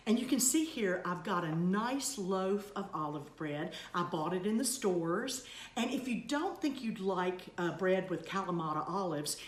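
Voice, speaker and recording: An older woman, hosting a casual cooking demonstration in a large home kitchen and chatting homily while she works. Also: mid-range voice. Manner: chatty, homey, talks while working. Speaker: cooking segment host. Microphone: fixed camera across the kitchen counter. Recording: roomy kitchen with faint hum.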